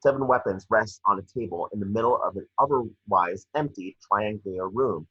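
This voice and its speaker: Low, husky man reading screenplay directions. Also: moderate pace, a bit bright, lo-fi sound. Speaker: reader of action descriptions.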